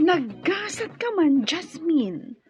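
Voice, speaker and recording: Teasing senior coworker, radio drama: leisurely, swooping, husky delivery, muffled close sound. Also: female voice, high-pitched, sing-song, slow pace, darkish tone, faint music behind, full character voice. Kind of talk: Ilocano radio drama acting. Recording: dull lo-fi audio.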